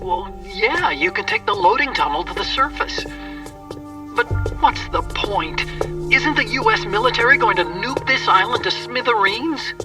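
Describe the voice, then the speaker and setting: An actor playing an earnest, anxious, nerdy tech ally in a video game. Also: male voice, sits high, big pitch swings, normal speed, far from the mic, over music. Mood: doubtful.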